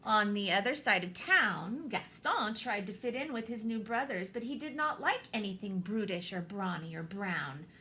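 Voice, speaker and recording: A woman reading a children's picture book aloud, expressively, with theatrical pauses and deliberate emphasis. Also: mid-range voice, lively intonation, sharp tone, slight background noise. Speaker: storybook reader, teacher.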